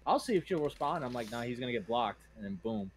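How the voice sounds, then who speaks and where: A man's voice: medium pitch, lively intonation, fast, a little hiss or hum. A man on the panel call, sounding cocky and boastful. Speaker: panel guest.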